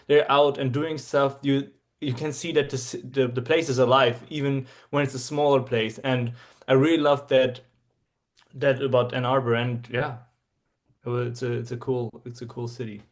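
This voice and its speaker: A man's voice: medium pitch, flat delivery, moderate pace. Young berlin guy, keeps restarting his sentences, upbeat travel chat. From Germany, Berlin. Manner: enthusiastic, many restarts and fillers. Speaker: interview guest, traveler. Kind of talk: casual interview answers.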